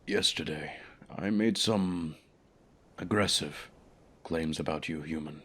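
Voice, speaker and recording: Guy doing a pompous dragon for fantasy asmr, really deep voice, talks down to his human, bossy and formal. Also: slow pace, sharp tone, slightly rough, heavily acted. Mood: measured, conciliatory. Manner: pompous, condescending, formal diction. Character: haughty male dragon, commanding keeper of a human pet. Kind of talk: scripted ASMR fantasy roleplay.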